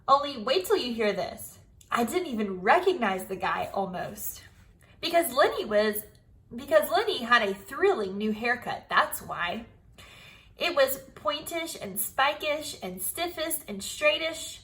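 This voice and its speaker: A woman teacher giving an expressive storybook read-aloud, speaking directly to young pupils. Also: fairly high pitch, unhurried. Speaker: primary school teacher.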